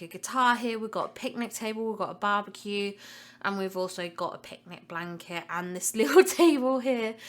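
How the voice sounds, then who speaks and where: A woman's voice: mid-range voice, bright, forceful tone. A young woman hosting a casual gaming vlog, chatty and enthusiastic and slightly congested from a cold. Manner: chatty and enthusiastic, repeats words for emphasis. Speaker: gaming channel host. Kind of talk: casual game commentary vlog.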